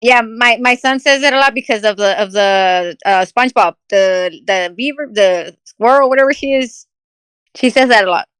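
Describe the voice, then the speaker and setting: A woman's voice: fairly high pitch, moderate pace, fairly bright tone, clear, resonant tone, dry recording. A mother in a casual group chat, blunt and candid.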